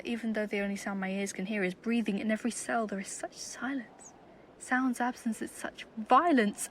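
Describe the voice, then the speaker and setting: A woman's voice: fairly high pitch, fairly bright tone, a little hiss or hum. A woman playing an anguished young woman reciting a poetic farewell letter in an audio drama. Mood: pained, tender.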